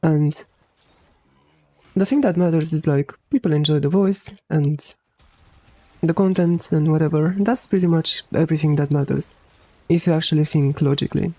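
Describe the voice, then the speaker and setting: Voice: medium pitch, normal speed, no room sound, poor audio. An androgynous-sounding streamer with a Romanian accent, chatting casually with viewers and swearing freely. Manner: relaxed, swears casually, many fillers. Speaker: game streamer. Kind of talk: casual chat while streaming a game.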